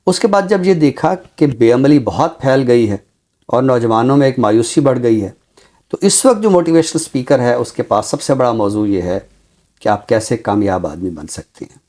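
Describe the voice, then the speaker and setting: A Pakistani man speaking formally and deliberately as a literary commentator, with emphatic, repeated phrasing. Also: mid-range voice, expressive, dark tone. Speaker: literary commentator on a talk show. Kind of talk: measured, formal literary discussion and poetry recital.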